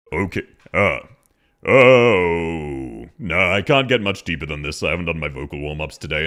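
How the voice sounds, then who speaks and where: A man's voice: sits low, a bit bright. A young Australian man chatting casually on a live stream, playful and easily sidetracked.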